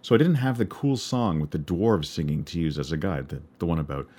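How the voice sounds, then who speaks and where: A man with a baritone voice narrating a personal story in a wry, measured way. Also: darkish tone, breathy edge. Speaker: podcast narrator and musician.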